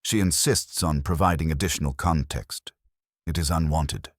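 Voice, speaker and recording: A man voicing a gruff, haughty, irritable genius detective in an audiobook, with clipped, pompous, formal speech. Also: rumbling low, moderate pace, no echo, clean studio sound. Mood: irritated.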